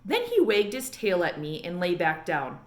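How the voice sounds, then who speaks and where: A woman reading a children's book aloud in an expressive storytelling style. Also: lowish voice, moderate pace, roomy sound. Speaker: read-aloud reader.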